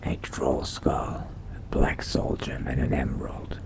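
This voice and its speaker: A man voicing a brooding, curious young vampire adventurer in a video game roleplay, musing to himself in a slow, hushed, gravelly whisper. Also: completely flat delivery, exaggerated voice. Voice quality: very deep, breathy, gravelly whisper. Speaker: roleplay gamer.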